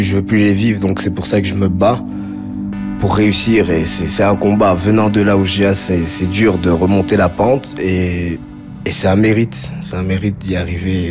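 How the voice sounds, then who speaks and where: A man recounts his own life in an unscripted testimony. He is reflective and halting, often repeating a word while he looks for the next one. Faint music plays under his voice. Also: sits low. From Belgium, grew up around Liège. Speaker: interview subject telling his life story. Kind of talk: personal testimony, spontaneous storytelling.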